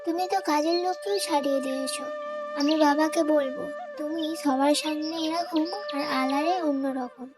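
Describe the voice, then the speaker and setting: A woman voicing a gentle, wronged young stepdaughter in a cartoon moral story, earnest and pleading. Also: high voice, fairly even intonation, normal speed, over loud music, lightly acted. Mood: protesting.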